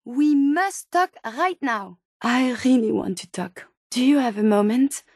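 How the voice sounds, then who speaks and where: An earnest, curious robot scientist from a video game, with a distinct French accent. Her voice is high and very dry, close and without room sound. She phrases everything formally and courteously, choosing precise words. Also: unhurried, a little mellow, breathy edge, no room sound. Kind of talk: video game character dialogue.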